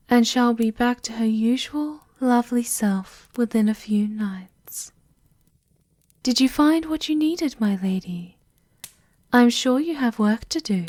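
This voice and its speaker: A woman playing a loyal, coldly menacing castle maidservant in a fantasy ASMR roleplay, speaking formally with hushed, deferential politeness. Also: on the high side, dry recording, studio quality. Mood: polite, deferential. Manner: formal, deferential, veiled threats, often breathy whispering.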